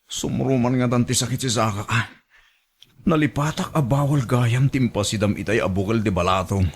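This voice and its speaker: A man's voice: medium pitch, normal speed, breathy edge, close to an everyday voice. A man playing an evasive, placating married cheater in a radio drama, with an Ilocano accent. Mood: pained, complaining.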